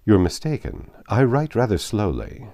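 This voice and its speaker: A man voicing a proud, reserved young gentleman in an audiobook of a classic novel, speaking in a formal, measured, cutting way. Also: on the low side, huge pitch swings, gravelly, full character voice. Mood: cool, curt.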